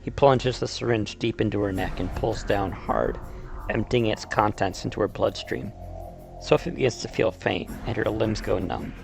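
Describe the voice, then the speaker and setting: A man's voice: lowish voice, moderate pace, vocal fry, music in the background. A man narrating a video essay about games, mixing storytelling with casual, conversational asides. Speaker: YouTuber, video game explainer. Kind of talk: video essay narration, semi-scripted retelling and commentary.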